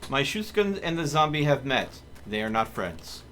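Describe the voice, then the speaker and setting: A man's voice: mid-range voice, big pitch swings, normal speed, fairly bright tone, slight background noise. A man chatting casually as a game streamer, with playful, joking asides. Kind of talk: casual gaming livestream chat.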